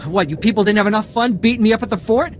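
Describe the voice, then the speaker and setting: An actor playing an aggrieved, shifty informant in a video game, whining and wheedling for payment. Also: male voice, sits high, very fast, slight background noise, natural voice. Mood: indignant. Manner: whiny, indignant, wheedling.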